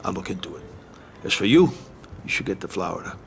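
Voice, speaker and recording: An actor playing a calm, dry, calculating mafia consigliere in a video game cutscene. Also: male voice, low-pitched, brisk, creaky, a little hiss or hum, full character voice.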